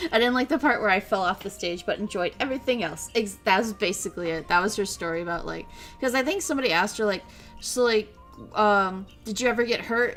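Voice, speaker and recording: A young woman chatting on her stream, telling stories with lots of animation and frequent laughter. Her voice sits at an ordinary pitch, and faint music plays underneath. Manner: animated storytelling, laughs often, reads chat. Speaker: VTuber streamer. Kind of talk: casual chat stream recap.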